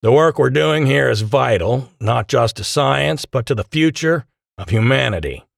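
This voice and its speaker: An imposing, charismatic man of about forty with a transatlantic accent, as a male narrator plays him. His solemn audiobook oratory is grand and measured, with raised, sweeping pitch and weighty pauses. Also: lively intonation, gravelly, no room sound, studio quality. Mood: commanding.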